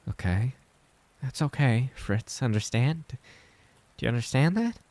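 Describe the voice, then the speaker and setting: A man voicing a gentle, worried middle-aged mother who speaks softly and carefully to reassure her son. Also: fairly low pitch, normal speed, pitched above the performer's own voice, natural voice. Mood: reassuring.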